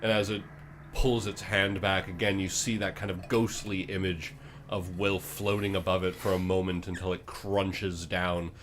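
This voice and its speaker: A man running a tabletop role-playing game, improvising narration with hesitant phrasing and dramatic pauses. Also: low voice, flat delivery, fairly bright tone, a little hiss or hum. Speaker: game master.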